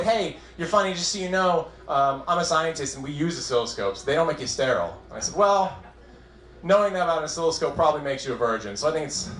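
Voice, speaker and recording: Man, new england accent, stand-up comic, dry self-mocking jokes, slightly high voice, faint room noise. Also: fairly bright tone. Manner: wry, self-deprecating punchlines. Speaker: stand-up comedian. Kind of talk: stand-up set. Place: comedy club stage. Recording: echoey club room.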